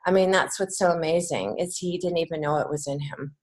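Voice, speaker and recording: A middle-aged American woman with a fairly low voice talks as a guest in an interview. She explains things in an earnest, conversational way and leans on key phrases for emphasis. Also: steady tone, fairly quick. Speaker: podcast guest, children's author and teacher.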